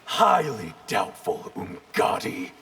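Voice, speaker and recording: A man's voice: on the low side, big pitch swings, slow, full character voice. A man playing an arrogant, cold and ambitious ninja assassin in a video game, speaking formally and with haughty, clipped disdain. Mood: scornful. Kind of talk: video game character acting. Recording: clear noise behind.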